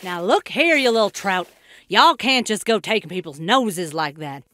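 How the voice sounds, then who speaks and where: Woman as gruff strong snow woman, blunt and bossy. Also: medium pitch, animated, normal speed, pushed and bright, dry recording, faint music behind. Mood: stern.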